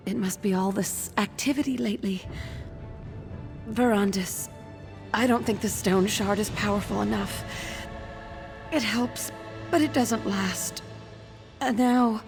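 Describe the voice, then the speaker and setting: Frail, creaky-voiced ailing noblewoman. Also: fairly high pitch. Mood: pleading, faint, then despairing. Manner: halting, weary, breaking into whispers. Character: frail ailing noblewoman, pleading, hiding her suffering. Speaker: video game voice actor. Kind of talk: dramatic game dialogue.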